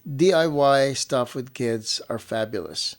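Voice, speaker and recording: A man's voice: mid-range voice, unhurried. A man co-hosting a family show as a parent, talking in a casual conversational way, often adding "you know" and repeating phrases for emphasis. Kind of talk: casual conversational chat with partner.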